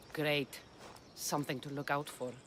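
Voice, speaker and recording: A woman's voice: low voice, little pitch movement, slow, fairly bright tone, a little hiss or hum. A woman playing a tough, determined mercenary of ancient Greece in a video game cutscene, blunt and commanding. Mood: grave.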